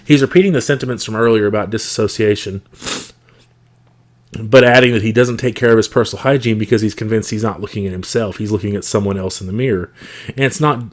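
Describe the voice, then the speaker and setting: A man's voice: on the low side, fast talker. Congested man with a scratchy voice. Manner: reflective, thoughtful, with frequent fillers. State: congested, rough scratchy voice from a cold. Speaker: podcast host. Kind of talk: casual solo podcast talk.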